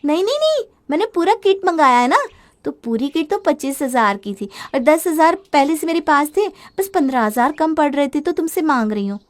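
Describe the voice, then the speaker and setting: A woman's voice: high-pitched, very animated, a little fast, pitched above the performer's own voice. A woman voicing a young wife who spends too much, talking in a whiny, wheedling way in a storybook drama. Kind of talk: storybook voice acting.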